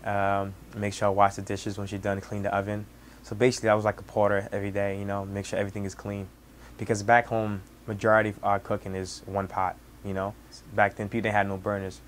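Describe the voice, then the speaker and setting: A young man in his twenties from Senegal with a Senegalese accent, Wolof his first language, telling his story as a cook in halting speech with many restarts. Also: lowish voice, monotone, a little hiss or hum. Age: 20s. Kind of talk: casual personal storytelling.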